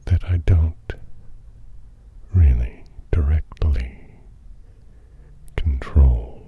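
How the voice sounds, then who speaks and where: A man giving a hushed, mostly whispered ASMR monologue in short, musing phrases with long pauses. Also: extremely low pitch, slightly rough. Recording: dull, muffled audio with faint noise.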